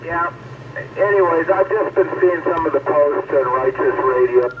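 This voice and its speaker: A man's voice: a little high, normal speed, far from the mic. A man in casual technical chat over two-way radio, explaining things hesitantly as a hobbyist. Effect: two-way radio transmission. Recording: dull, noisy two-way radio transmission.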